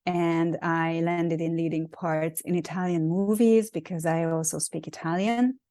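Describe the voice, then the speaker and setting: Hungarian woman, 40s, lower register, dry close sound; reflective guest who restarts phrases. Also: fairly even intonation, a little slow, dark tone, no echo. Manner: thoughtful, with frequent ums and restarts. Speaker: guest, actress and acting coach. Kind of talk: casual interview chat.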